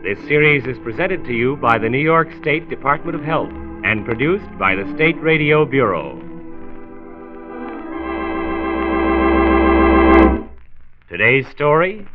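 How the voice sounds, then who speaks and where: An actor playing a folksy, kindly county doctor who narrates a 1940s radio series. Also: male voice, medium pitch, very animated, a little slow, loud music behind the voice. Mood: formal announcing. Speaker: narrator host. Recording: tinny 1940s radio transcription recording.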